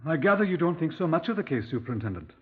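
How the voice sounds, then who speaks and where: An actor playing a brisk, respectful local police sergeant, deferential to his superior, in a 1950s radio drama. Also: on the high side, sing-song, slightly rough. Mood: insistent. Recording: tinny, narrow-band 1950s radio recording.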